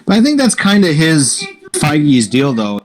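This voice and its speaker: A man's voice: fairly high pitch, huge pitch swings, unhurried. A man co-hosting a casual gaming podcast, laid-back, with short replies. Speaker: podcast co-host. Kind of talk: casual chat.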